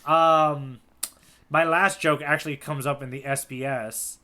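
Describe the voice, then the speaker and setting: A man's voice: on the high side, steady tone, a little slow, a crowd in the background. A man co-hosting a podcast in casual chat, jokey and rambling, laughing at his own puns. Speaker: podcast co-host.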